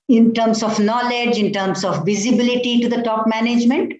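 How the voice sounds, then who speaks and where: A woman moderates a panel over a video call, phrasing long questions fluently and calmly. Her voice is fairly high and sounds roomy and a bit dull. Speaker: panel host.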